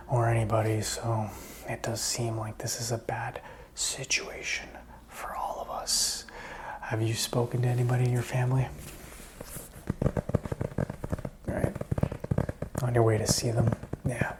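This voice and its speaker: Soft whispery guy, acting a lonely rambling apocalypse survivor, asmr roleplay, pretty flat, bit of hiss or noise behind him. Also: medium pitch, natural voice. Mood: grim, hushed, then gently concerned. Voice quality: soft, breathy, often whispered. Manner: slow, hesitant, rambling, soothing. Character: lonely, rambling male survivor of zombie apocalypse, soothing and apologetic.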